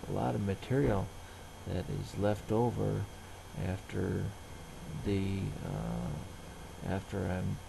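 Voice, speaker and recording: Slow, low-voiced man with gravelly creak. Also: background noise. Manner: halting, rambling, plain-spoken. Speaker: vlogger. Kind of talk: casual narration of everyday tasks.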